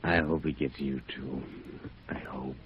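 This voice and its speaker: A man playing a wounded, bitter crook in a 1950s radio noir drama, speaking weakly, halting and spiteful. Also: a bass voice, steady tone. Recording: muffled narrow old radio, clear noise.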